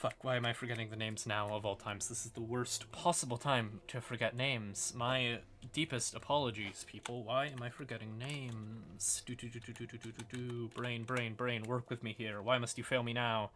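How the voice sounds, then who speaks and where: A man's voice: mid-range voice, fairly even intonation, normal speed, few pauses, fairly bright tone, slight background noise. A man hosting a casual livestream, explaining fantasy game lore in an informal, sweary, apologetic way while sounding a bit tired. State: tired, yawning. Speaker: livestream host.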